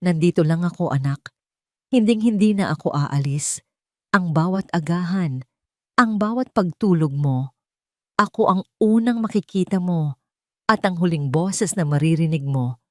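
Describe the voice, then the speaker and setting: A devoted, protective mother from an audio drama, read by a woman narrator. She speaks tenderly and earnestly in a slightly low voice, recorded dry. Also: lively intonation, unhurried, pauses often, darkish tone, dry recording. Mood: tender, reassuring.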